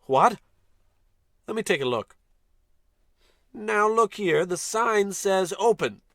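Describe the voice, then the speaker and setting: An actor playing a bossy, pompous, short-tempered space captain in a scripted old-time radio comedy. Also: male voice, high voice, expressive, takes it slowly, a bit bright, dry recording, clean studio sound, heavily acted. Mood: bullying. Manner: pompous, blustering, commanding.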